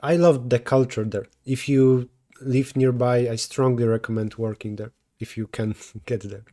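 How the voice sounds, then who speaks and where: Mellow-voiced Polish man thinking aloud. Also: medium pitch, fairly even intonation, normal speed. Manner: thinking aloud, self-correcting mid-sentence. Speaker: streamer solving coding problems. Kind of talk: live coding stream, answering chat.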